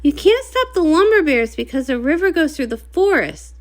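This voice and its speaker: A woman voicing a small, clumsy, eager young male unicorn in a storybook, excitable and earnest. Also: high voice, normal speed, pitched above the performer's own voice. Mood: earnest, insistent.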